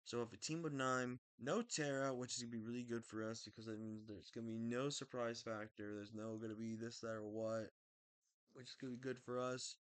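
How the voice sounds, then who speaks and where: A young man talking casually about his game team, rambling and correcting himself, with a slightly raspy throat. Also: mid-range voice, a little fast, dry recording. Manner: rambling, self-correcting, excitable. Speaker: draft league player. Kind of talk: casual video commentary.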